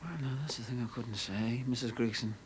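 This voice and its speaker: An actor playing a dry, placating Irish lodger with an Irish accent. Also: male voice, mid-range voice, fairly even intonation, a little fast, slight background noise, natural voice. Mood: quiet.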